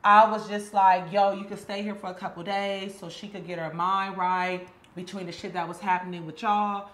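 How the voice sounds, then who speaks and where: A woman's voice: mid-range voice, fairly even intonation, fairly bright tone, echoey room, natural voice. A woman playing a defensive young woman who insists she is innocent, in a comedy skit. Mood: pleading. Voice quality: quick, emphatic, slangy delivery. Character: defensive young woman accused by her best friend.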